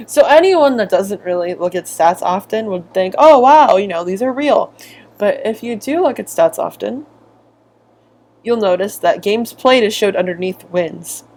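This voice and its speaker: Female video commentator, casual and sarcastic, mid-pitched, given to mimicking. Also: lively intonation, measured pace, a little mellow.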